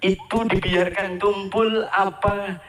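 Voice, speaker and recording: A man's voice: sits high, flat delivery, takes it slowly. A man gives a ceremony address to students, asking the crowd questions and repeating his phrases. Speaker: ceremony speaker at an Islamic boarding school. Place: outdoor school ceremony. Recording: echoey, from far off the mic.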